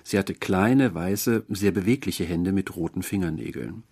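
Low-pitched, husky male literary narrator. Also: unhurried, darkish tone. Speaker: narrator, reader.

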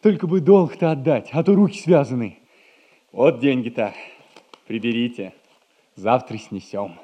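An actor playing an eager, naive, honest young son in a theatrical audio comedy. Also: male voice, medium pitch, a little slow, muffled recording, natural voice. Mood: earnest, resigned. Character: excitable, honest young man, poor widow's devoted son. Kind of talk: classic Russian stage comedy acting, audio play.

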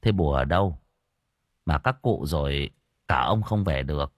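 A man's voice: extremely low pitch, lively intonation, normal speed, no echo, clean studio sound, voice pushed down. A man voicing a blunt, bossy young spirit medium who scolds casually in a story. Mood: exasperated.

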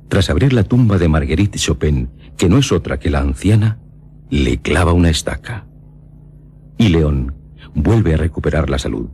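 A man reading scripted radio narration in a solemn, measured storytelling manner. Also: sits low, mellow, slight background noise. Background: music behind the voice.

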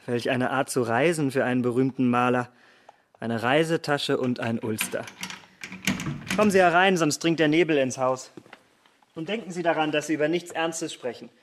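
An actor playing a vain, scornful young gentleman in a radio drama, speaking polished, formal lines in a haughty, mocking manner. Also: medium pitch, moderate pace, slight background noise, natural voice. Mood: breezy, flippant.

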